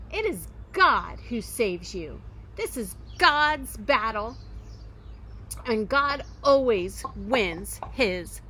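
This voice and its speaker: A woman's voice: sits high, sharp tone, a little hiss or hum, pitched above the performer's own voice, exaggerated voice. A woman voicing a brave little shepherd boy in a children's storybook, earnest and emphatic in his faith.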